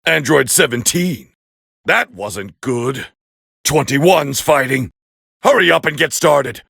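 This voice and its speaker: A man voicing a proud, scornful alien warrior as a fighting game commentator, gravelly and haughty in an English anime dub style. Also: medium pitch, lively intonation, slow, no room sound, studio quality, heavily acted. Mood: commanding, disdainful. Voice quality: gravelly, raspy. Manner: haughty, forceful, clipped exclamations.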